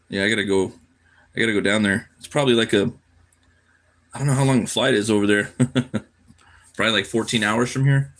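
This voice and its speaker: A man's voice: lowish voice, sharp tone. A tired man chatting casually on a livestream while he draws, laid-back and slangy, half muttering to himself. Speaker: cartoonist live streamer.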